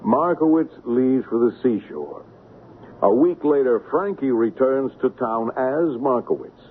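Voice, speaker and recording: Actor as a cocky swindler on muffled radio. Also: male voice, medium pitch, lively intonation, measured pace, lightly acted. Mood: confident, explaining. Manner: theatrical, coaxing, builds up to reveals. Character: cocky, persuasive male swindler masterminding a plot. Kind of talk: old-time radio drama acting. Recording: band-limited, noisy radio drama recording.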